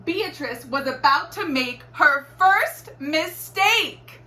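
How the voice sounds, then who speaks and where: A woman reading a children's storybook aloud in an expressive, deliberate teacherly way. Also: high-pitched. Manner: animated, deliberate storytelling with acted exclamations. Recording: roomy echo, faint background noise.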